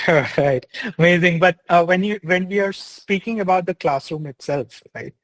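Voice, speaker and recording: A man's voice: high voice, measured pace, fairly bright tone, no room sound, rough recording. A young man hosting an interview, reading an enthusiastic intro and asking questions in an upbeat way. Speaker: host.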